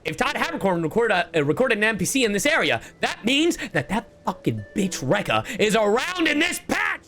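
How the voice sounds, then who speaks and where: A man streaming a game playthrough with excitable, profane, playfully exasperated commentary. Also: high voice, brisk, faint music behind. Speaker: streamer, VTuber.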